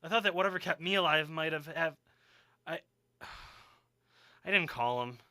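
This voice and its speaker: A man's voice: a little high, animated, unhurried, bright, forceful tone, dry recording, clean studio sound, heavily acted. A man acting in an audio drama as a wisecracking, stubborn young survivor who talks casually and sarcastically. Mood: distressed.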